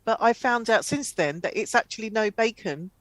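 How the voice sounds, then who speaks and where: A woman co-hosting a comedy chat show, talking casually in rambling, halting explanations. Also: a little high, expressive, a crowd in the background, low-quality recording.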